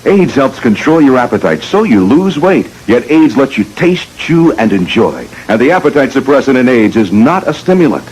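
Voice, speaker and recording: A male announcer delivers an old-style scripted commercial. His voice is husky, with a lively, swinging pitch across a middle register. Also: normal speed, hardly any pauses, rough recording. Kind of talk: vintage scripted advertising read.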